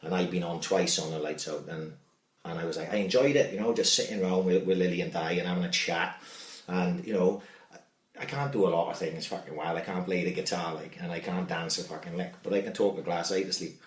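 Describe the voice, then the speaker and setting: Fast-talking, deep-voiced man. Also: fairly even intonation. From Wales. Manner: sweary, rambling storyteller. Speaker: podcast host. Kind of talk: casual chat. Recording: echoey room, distant mic.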